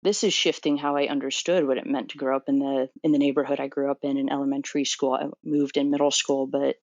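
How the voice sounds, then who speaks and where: A woman's voice: a bass voice, level tone, fast talker, a little mellow, dry recording, muffled recording. A woman in her thirties from Florida, a doctoral student answering interview questions thoughtfully, with many fillers and restarts. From Florida, USA.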